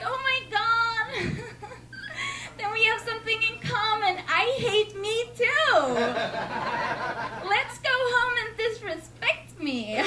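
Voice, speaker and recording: A young woman from Sweden with a Swedish accent, doing a deadpan, self-mocking stand-up set in a comedy club. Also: high voice. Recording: noisy club recording.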